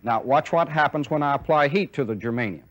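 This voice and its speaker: A middle-aged American man giving a technical lecture as a military instructor, deliberate and methodical, stressing key points by repeating them. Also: mid-range voice, a bit bright, low-quality recording. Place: indoor training film classroom studio with chalkboard and desk.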